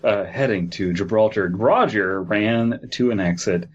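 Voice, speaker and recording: A man co-hosting a comedy podcast, riffing in a deadpan, casual way and reading stories aloud. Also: on the low side, a little slow, dull audio. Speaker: podcast host.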